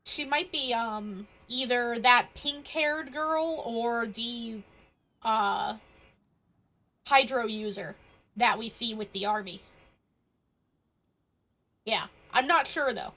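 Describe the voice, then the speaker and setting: A woman's voice: fairly high pitch, takes it slowly, long pauses, pushed and bright, poor audio. A woman game streamer giving casual live commentary, musing and thinking aloud as she goes.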